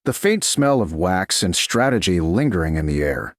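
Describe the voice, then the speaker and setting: A man's voice: sits low, very animated, normal speed, no room sound, clean studio sound. A man narrating a romance story as a scripted audiobook.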